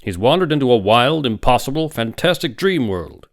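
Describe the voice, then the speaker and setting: A man's voice: sing-song, normal speed. A man voicing a huge, domineering older doctor in an audiobook, heavy-voiced, emphatic and insistent. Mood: angry, urgent. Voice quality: heavy, booming. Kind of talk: audiobook character dialogue.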